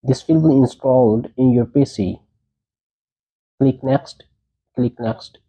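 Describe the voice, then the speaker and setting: Dark-voiced man giving tech instructions. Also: mid-range voice, slow, low-quality recording. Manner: short plain imperative instructions. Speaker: tech tutorial presenter. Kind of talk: step-by-step instructional narration.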